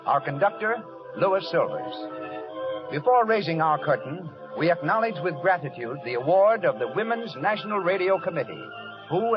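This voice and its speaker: A man's voice: high voice, lo-fi sound. A man announcing a 1930s radio programme from a script in a formal, declamatory manner, on a vintage recording.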